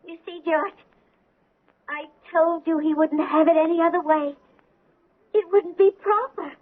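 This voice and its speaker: A woman's voice: very high-pitched, unhurried. An actress playing a young bride-to-be in a 1970s radio drama, dreamy and sweet, with a girlish, earnest manner. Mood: delighted. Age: young adult. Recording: thin lo-fi old radio broadcast.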